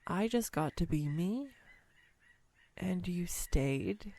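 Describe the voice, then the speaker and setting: A woman's voice: lowish voice, animated, slow, dark tone, heavily acted. A woman playing a clumsy, sweetly awkward young woman smitten on a first date, speaking tenderly and playfully to the listener in a romantic audio roleplay. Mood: tender, sincere. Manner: tender, playful, hesitant, self-deprecating. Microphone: close USB microphone, dry room.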